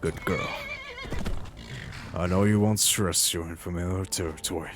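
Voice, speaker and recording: A man voicing an earnest, wistful mercenary adventurer in his thirties for a fantasy game roleplay, in a halting, reflective way. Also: deep voice, steady tone, faint music behind, natural voice. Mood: friendly. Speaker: role-playing gamer voicing his character. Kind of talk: in-character fantasy game monologue.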